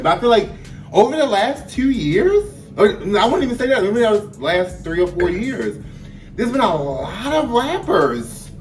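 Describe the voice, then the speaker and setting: A man from Baltimore with a Baltimore accent, co-hosting a podcast in casual, chatty talk that keeps correcting itself. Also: high-pitched. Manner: animated, chatty, self-correcting. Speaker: podcast co-host. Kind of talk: casual chat. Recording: echoey room, distant mic, faint music.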